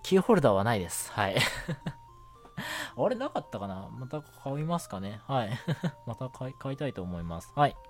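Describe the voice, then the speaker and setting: A man's voice: mid-range voice, very animated, normal speed, faint music behind. A man hosting a casual solo radio show, talking in a chatty, rambling way and often interrupting himself. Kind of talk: casual solo radio chat about anime.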